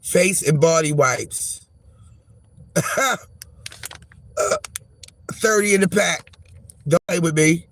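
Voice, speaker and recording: A man vlogging casually from his car, hoarse and losing his voice from a chest cold, talking in a rambling, profane, frank way. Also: a little high, slow, pauses often, a bit bright. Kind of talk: casual vlog monologue. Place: parked car.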